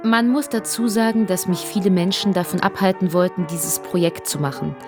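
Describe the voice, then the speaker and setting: A woman's voice: fairly low pitch, steady tone, fairly quick, music in the background. Chatty young woman rambling through a story. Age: young adult. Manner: chatty, rambling, self-correcting. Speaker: performer narrating her family story. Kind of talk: theatrical monologue.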